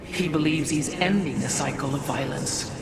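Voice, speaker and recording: A woman playing a haunted, dutiful alien priestess seer in a game cutscene, speaking gravely and formally, with portentous weight. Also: low voice, moderate pace, over a noisy crowd. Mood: ominous. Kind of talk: dramatic game cutscene acting. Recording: loud music behind the voice.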